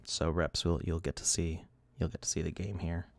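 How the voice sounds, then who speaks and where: A man in his thirties hosts a casual livestream from his desk, chatting loosely and laughing as he reads the chat. His voice sits low, and he is a bit sniffly. The sound is close and dry, with no room around it. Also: flat delivery, normal speed, gravelly, no room sound. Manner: rambling, laughs often, restarts, reads chat. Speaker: livestream host.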